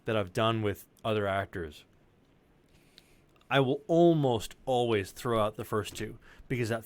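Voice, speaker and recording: A man who works as a voice actor, chatting casually in an informal, exclamatory way with some swearing. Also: mid-range voice. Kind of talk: casual chat. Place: indoors, on a group video call.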